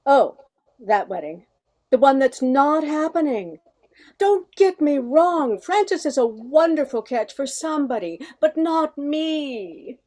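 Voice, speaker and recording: Woman acting a high-voiced, witty young lady professor. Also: animated, mellow. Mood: exasperated, wry. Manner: dry, quick-witted retorts. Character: headstrong, witty woman professor nearing thirty, unmarried butterfly researcher. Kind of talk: radio comedy play acting.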